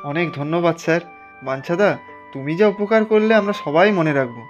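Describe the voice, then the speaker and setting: A man's voice: fairly high pitch, animated, a little fast, lo-fi sound, faint music behind, close to an everyday voice. A man voicing a plucky young village farmer in a Bengali cartoon, earnest and exclamatory. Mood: grateful.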